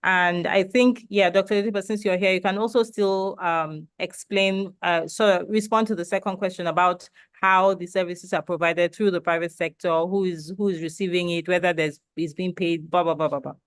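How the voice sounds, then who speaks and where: Hesitant webinar moderator, clear dry voice. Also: mid-range voice, steady tone, moderate pace, few pauses, fairly bright tone, no echo. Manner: hesitant, frequent ums and restarts.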